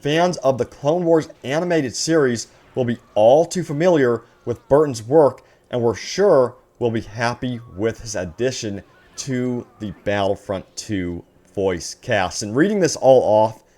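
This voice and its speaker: A man's voice: mid-range voice, measured pace. A man hosting a gaming news video, speaking enthusiastically to viewers and reading out announcements. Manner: excited, addresses viewers as guys. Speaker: gaming news channel host.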